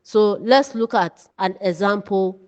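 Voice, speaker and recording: Lady teacher talking slow n deliberate, medium pitch, super echoey recording. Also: darkish tone, highs cut off. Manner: deliberate, instructive, short phrases. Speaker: writing teacher. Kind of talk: lesson narration with read-out examples. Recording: long reverberant echo.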